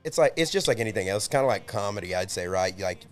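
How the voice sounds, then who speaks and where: A man's voice: lowish voice, expressive, quick pace, faint music behind. A man, the lead singer of a band, chatting as a guest on a podcast in a laid-back, joking way. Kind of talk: casual chat. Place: home garage studio.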